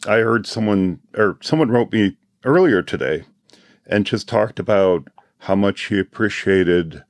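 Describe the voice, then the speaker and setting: Hoarse, deep-voiced man, heartfelt. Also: unhurried, no echo, clean studio sound. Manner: heartfelt, reflective, clears his throat often. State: losing his voice, hoarse. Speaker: conference organizer. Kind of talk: casual panel chat.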